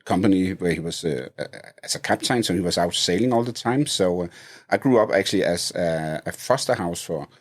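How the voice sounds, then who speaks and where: Danish man in his fifties, low voice. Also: fairly quick. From Denmark. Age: 50s. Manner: hesitant, many fillers and restarts, laughs easily. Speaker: guest. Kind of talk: casual interview chat.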